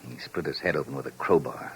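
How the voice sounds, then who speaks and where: A very deep-voiced police lieutenant, terse, tense and weary. His urgent lines come through a noisy old radio recording in 1950s drama style. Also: fast, creaky.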